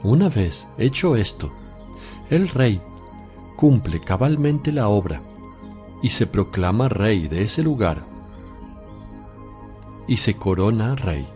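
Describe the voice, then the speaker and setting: An actor playing a calm, authoritative holy teacher with a solemn, measured delivery in a religious radio drama. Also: male voice, on the low side, soft background music. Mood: solemn, declamatory. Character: Jesus, calm authoritative teacher addressing disciples.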